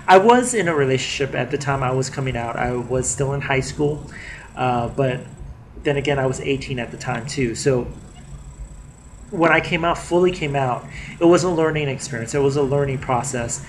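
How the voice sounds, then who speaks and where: A man's voice: mid-range voice, normal speed. A young man chats to his webcam from a living room, his voice a bit nasal from a cold. He talks in a loose, rambling way with plenty of fillers. A faint hiss sits under the recording. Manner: chatty, many fillers and restarts. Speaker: vlogger. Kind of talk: casual video diary. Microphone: webcam at desk distance.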